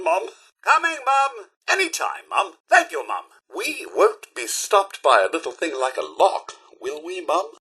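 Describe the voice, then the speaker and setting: Loyal robot butler, British accent, prim, dryly sarcastic, lively swooping intonation, raspy edge, video game companion. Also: male voice, on the high side, full character voice. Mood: dryly polite. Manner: formal, polite, theatrical, dryly sarcastic. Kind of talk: scripted video game character lines.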